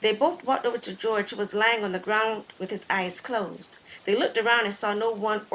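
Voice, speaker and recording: A woman narrating an audio drama in a measured, deliberate storytelling manner. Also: medium pitch, fairly even intonation, fairly bright tone, slight background noise, low-quality recording.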